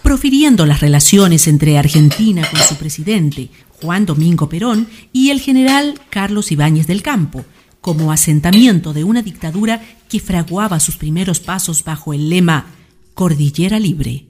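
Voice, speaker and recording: A woman's voice: on the low side, animated, moderate pace, darkish tone, a little hiss or hum. A woman delivering scripted dramatic narration for a radio play.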